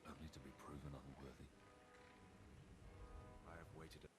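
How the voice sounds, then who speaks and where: An actor playing a menacing, bitter Sith villain in a video game, speaking gravely and theatrically. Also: male voice, very deep voice, flat delivery, moderate pace, over loud music. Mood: grim, bitter.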